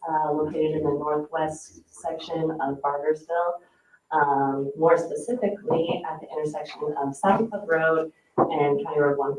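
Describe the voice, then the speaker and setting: A woman's voice: sits low, darkish tone. A woman engineer giving an orderly, explanatory presentation at a public meeting. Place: indoor municipal meeting room. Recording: muffled, echoey room, distant mic.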